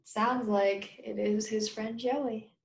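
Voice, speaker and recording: A woman teacher reading a children's story aloud, pausing with conversational explanations and questions for pupils. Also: fairly high pitch, fairly even intonation, creaky, reverberant.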